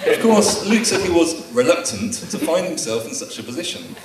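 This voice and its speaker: A man's voice: high voice, big pitch swings, normal speed, background noise. A man giving a best man's speech at a wedding, with dry, teasing humour read from his prepared notes. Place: wedding reception room. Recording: echoey room taped from far off.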